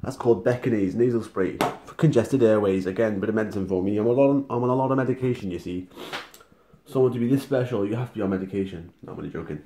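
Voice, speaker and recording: A man's voice: fairly low pitch, fast, dark tone, reverberant, a little hiss or hum. A young man, vlogging casually to his phone at home and joking in slang banter. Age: young adult. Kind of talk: casual vlog to camera. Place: home kitchen indoors. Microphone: phone camera held at arm's length.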